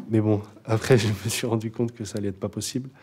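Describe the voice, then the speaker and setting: A man's voice: fairly low pitch, wildly swooping pitch, brisk, warm tone, breathy edge. A young man, a rapper, chatting casually in an interview, laid-back and hesitant, with many fillers and restarts. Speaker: rapper guest.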